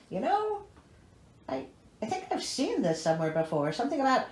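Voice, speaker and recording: Lady reading a fairy tale all sarcastic and dramatic, voice swoops around, echoey room. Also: on the high side. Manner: sardonic, theatrical, incredulous. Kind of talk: storybook reading with sarcastic comic asides. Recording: echoey, roomy sound.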